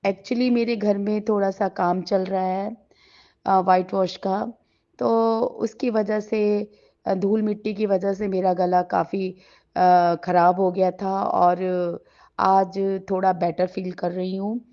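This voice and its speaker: A middle-aged woman with a sore throat, sharing a devotional gratitude talk at home before a shrine, in a gentle, halting way with many pauses. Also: mid-range voice, level tone. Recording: slightly dull lo-fi recording.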